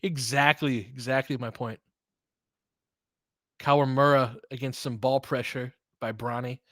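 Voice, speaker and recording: A man's voice: medium pitch, steady tone, a little slow, fairly bright tone, no room sound, studio quality. A man doing casual live basketball play-by-play, talking conversationally and adding his own opinions as he goes. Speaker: play-by-play commentator and host. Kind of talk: casual live basketball commentary with fan chat.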